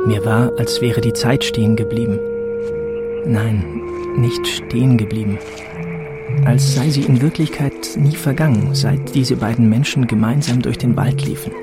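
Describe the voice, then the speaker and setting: A man's voice: medium pitch, unhurried, lightly acted. An actor playing an earnest father who tells his daughter family memories in a reflective manner, in a radio drama. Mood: wistful. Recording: music behind the voice.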